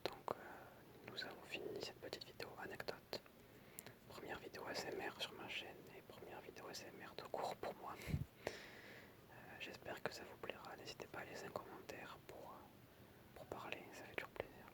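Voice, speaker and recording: Whispering ASMR creator, sex unclear. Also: normal speed. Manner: soft whispering, informal and hesitant in asides. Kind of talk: whispered ASMR reading of film trivia with casual asides.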